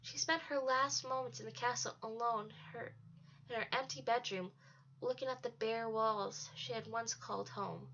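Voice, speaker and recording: High-voiced woman reading a story aloud. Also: fairly even intonation, moderate pace, a bit bright, a little hiss or hum. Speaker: narrator. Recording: dull lo-fi home recording.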